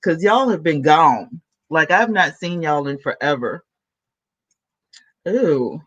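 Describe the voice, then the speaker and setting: A woman YouTuber, chatting excitedly to her viewers from home while she sets up a new microphone. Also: low-pitched, slow, slightly rough, highs cut off. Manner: chatty, excitable, talks to chat. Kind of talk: casual vlog chat to viewers. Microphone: camera mic, then a new plug-in USB mic.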